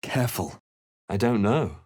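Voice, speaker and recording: Grave fantasy adventurer, animated range. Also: male voice, lowish voice, measured pace, breathy edge, no echo, clean studio sound. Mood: wry, watchful. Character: male adventurer hero, grave, watchful, wry fantasy spellcaster. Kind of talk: video game voice lines, dramatic narration and incantations.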